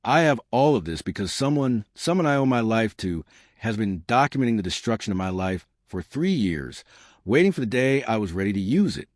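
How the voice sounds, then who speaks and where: A resolute woman laying out evidence against her abuser in a measured, accusing tone, read by a man. The voice sits low but moves expressively. It is recorded dry and close in a storybook reading. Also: very animated, no room sound. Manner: measured, accusing, deliberate delivery. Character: steely Nigerian woman exposing her abuser.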